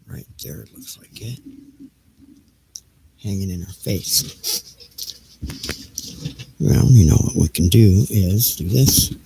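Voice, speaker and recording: A man's voice: low-pitched, takes it slowly, vocal fry, pauses often, mellow. A middle-aged man chatting off the cuff on a homemade livestream, rambling and half muttering to himself as he fiddles with his gear. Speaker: livestream host. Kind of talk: casual, unscripted livestream chatter. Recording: noisy homemade livestream setup.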